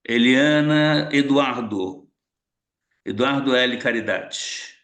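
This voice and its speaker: A man's voice: medium pitch, slow, fairly bright tone. A man facilitating an online retreat meeting, calling participants by name and welcoming each one briefly. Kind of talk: casual online group meeting. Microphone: video call.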